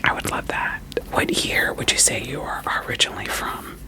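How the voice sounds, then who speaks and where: A person whispering an ASMR roleplay as a doting, quirky therapist, soothing and reassuring with gentle questions. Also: normal speed. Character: doting, quirky therapist evaluating a vampire client.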